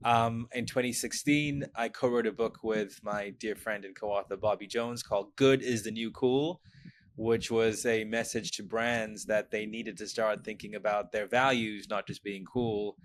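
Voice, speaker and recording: A man in his forties from Sri Lanka, a guest speaking from home in a measured way, often saying "you know". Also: fairly low pitch, fairly even intonation, a little hiss or hum. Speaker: guest, brand consultant. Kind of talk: casual interview chat.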